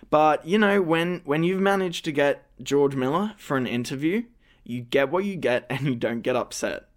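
Young male podcast host with a slight stammer; hesitant, self-deprecating, mid-pitched. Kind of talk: scripted podcast introduction.

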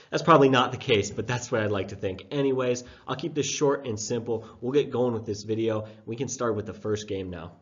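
A young man hosting a fantasy football show, sick with a sore throat and stuffed-up nose, talking in a chatty, self-deprecating way with loose fillers. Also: on the low side. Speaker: fantasy football podcast host. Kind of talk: casual breakdown talk. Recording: dull lo-fi sound.

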